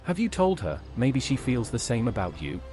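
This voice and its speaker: Man as animated, mid-pitched adventurer. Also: normal speed, faint music behind. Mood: matter-of-fact, curious. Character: helpful male adventurer, calm and direct. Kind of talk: video game character dialogue, AI-voiced.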